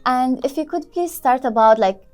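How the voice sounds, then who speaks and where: A woman's voice: sits high, animated, normal speed, a little mellow. A woman hosting an interview show, giving a formal welcome and asking questions. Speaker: show host.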